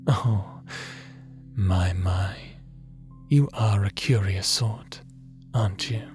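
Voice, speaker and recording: A man playing a gentle, fatherly creator god with a hidden weariness in a scripted audio roleplay, soft-spoken and deliberate, sometimes slipping into a whisper. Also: lowish voice, soft background music. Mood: tender, doting. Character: gentle fatherly creator god, tender mentor, secretly haunted and weary.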